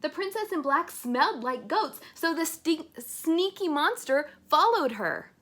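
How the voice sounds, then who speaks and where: A woman reading a picture-filled chapter book aloud to young children, expressive and pausing to put questions to her listeners. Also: high voice.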